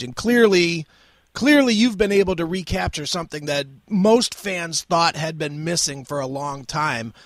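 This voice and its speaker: A man's voice: on the high side, fairly bright tone, dry recording, studio quality. A male radio host interviewing a guest, asking questions in an upbeat, enthusiastic way.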